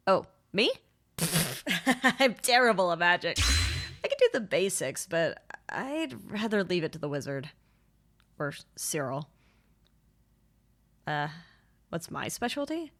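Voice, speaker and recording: A woman voicing a reluctant, gently teasing storyteller in a character voice piece, with a hesitant, soft-hearted delivery. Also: fairly low pitch. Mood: sheepish, playful. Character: reluctant, gently teasing storyteller, self-deprecating about magic. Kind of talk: character voice pack, conversational then fairy-tale narration. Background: background music.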